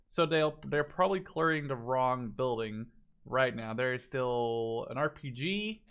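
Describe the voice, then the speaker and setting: A man's voice: mid-range voice, expressive, measured pace, fairly bright tone, clean tone, highs cut off. Casual man commentating on gameplay. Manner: informal, thinking aloud, mildly profane. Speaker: video game spectator commentator.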